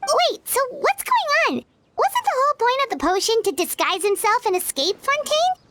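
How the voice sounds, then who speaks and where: A tiny flying game companion, excitable and chatty. Her voice is extremely high and bounces all over the place. She refers to herself by name instead of saying "I". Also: moderate pace, background noise. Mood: indignant. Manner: talks about herself in third person, excitable. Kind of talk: video game voice acting.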